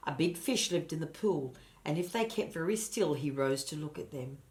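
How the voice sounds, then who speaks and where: Older female storybook narrator, low register, grandmotherly and expressive, speaking directly to children. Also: moderate pace. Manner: grandmotherly, addresses listeners and points out pictures. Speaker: storybook reader.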